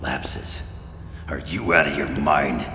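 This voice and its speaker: An actor playing a gruff, cynical mutant brawler with a feral temper and a growling, terse delivery in a video game. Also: male voice, very deep voice, fairly even intonation, slow pace, sharp tone, a little hiss or hum, exaggerated voice. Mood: enraged. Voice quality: gravelly, growling. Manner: terse, sardonic, colloquial. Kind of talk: video game cutscene acting.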